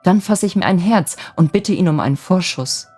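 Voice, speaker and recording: An ardent young man from a storybook romance, acted out by a woman reader. He is cheerful and wheedling, pressing his case eagerly with very lively intonation. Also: fairly low pitch, normal speed, slightly rough, soft background music. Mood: hopeful, eager.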